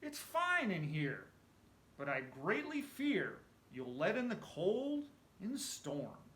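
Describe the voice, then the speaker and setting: A man voicing an ailing, freezing gold prospector in a dramatic poem recitation, weary and pleading, with a drawn-out moaning delivery. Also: sits high, huge pitch swings, a bit bright, echoey room, pitched above the performer's own voice, exaggerated voice. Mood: drawn-out, insistent.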